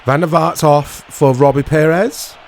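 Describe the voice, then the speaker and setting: A man giving casual commentary on a football video game in short reactive fragments, his voice strained and a little hoarse. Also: fairly high pitch, steady tone, measured pace, a little mellow, a little hiss or hum. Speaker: gaming channel host.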